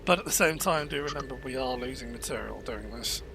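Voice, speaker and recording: A man's voice: mid-range voice, lively intonation, brisk, creaky. A man with a slightly scratchy, cold-affected voice giving casual, rambling gameplay commentary, wry and self-deprecating as he muses aloud. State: coming down with a cold, scratchy throat. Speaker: gaming video host. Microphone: microphone with occasional bumps. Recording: loud music and game sound over voice. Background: loud music, vehicle-like game sounds.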